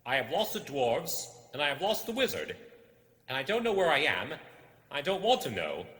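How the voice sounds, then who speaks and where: A man voicing a small, flustered storybook hobbit, frightened yet defiant, blurting his words out in a loud, urgent, plaintive way. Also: on the high side, big pitch swings, moderate pace, pitched above the performer's own voice. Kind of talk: storybook character voice. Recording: echoey, long reverb.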